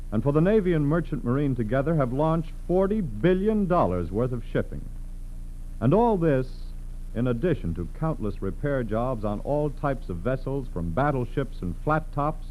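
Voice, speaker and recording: A man's voice: medium pitch, a little mellow, slight background noise, rough recording. An American man announcing for a 1940s radio sponsor in a formal, stirring, emphatic delivery.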